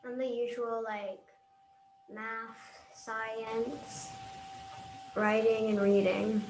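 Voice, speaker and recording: A woman's voice: fairly high pitch, little pitch movement, drawn-out words. A female speaker giving brief, hesitant answers in a casual family video call. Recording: echoey, noisy video call.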